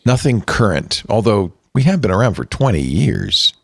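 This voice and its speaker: An older man hosting a casual panel chat from a home studio, animated, chuckling, with quick asides. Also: fairly low pitch, creaky, no echo. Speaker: host. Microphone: studio mic on arm.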